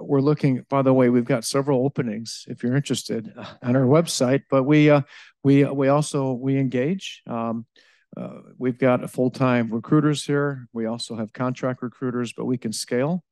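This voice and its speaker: A man answers audience questions at a live event, his voice mellow and dark. The sound is dry and close. He stops and restarts often, fills gaps with "um", and keeps checking in with "okay?". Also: medium pitch, fairly even intonation, no room sound. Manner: halting, with many fillers, restarts and a tag "okay?". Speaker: utility innovation director answering audience questions. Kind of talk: live presentation Q&A.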